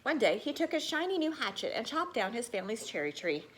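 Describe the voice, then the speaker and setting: Lively, expressive woman reading a picture book. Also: fairly high pitch, quick pace, slight background noise. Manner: expressive, theatrical storytelling. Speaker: teacher reading aloud. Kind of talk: animated picture-book read-aloud.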